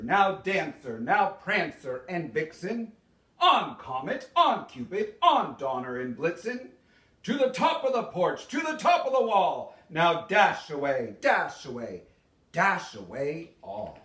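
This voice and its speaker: Storybook jolly old Santa, acted by a male reader, exuberant and sweeping in pitch, roomy sound. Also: sing-song, slow pace, pushed and bright, heavily acted. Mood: rousing, commanding. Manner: exuberant, calling out commands. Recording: roomy echo, distant microphone.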